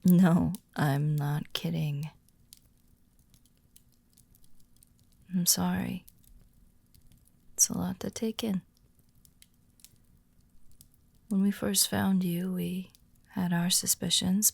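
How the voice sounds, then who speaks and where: A woman performs a calm female werewolf of the pack in an intimate audio roleplay. Her voice is lowish and very gravelly, often sinking to a breathy near whisper. She speaks slowly and gently, reassuring a newcomer with care. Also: no room sound, exaggerated voice. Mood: sympathetic, reassuring. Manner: slow, gentle, reassuring, with deliberate pauses. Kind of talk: soft-spoken immersive ASMR-style audio roleplay.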